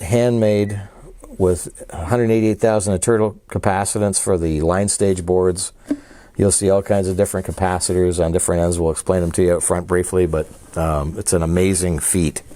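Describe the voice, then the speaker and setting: A man with a low, warm and mellow voice presents a piece of audio equipment in a casual demo. He explains each switch and feature as he goes, with an easy, enthusiastic manner. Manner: enthusiastic, explains features while handling gear. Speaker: audio dealer presenting a product.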